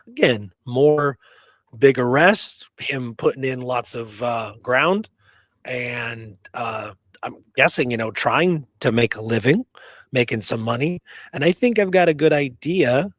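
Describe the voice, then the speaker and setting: A man's voice: medium pitch, no echo. A man hosting a podcast in a casual discussion of history, musing aloud with rhetorical questions and repeated phrases, and freely giving his opinions. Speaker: podcast host.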